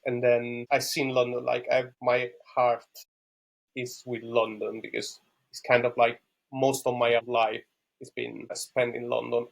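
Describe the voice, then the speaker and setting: Italian man with a gravelly, even voice. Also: medium pitch, low-quality recording. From Rome, Italy. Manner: halting, thoughtful non-native phrasing. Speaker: podcast guest. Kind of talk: conversational interview answers about own life.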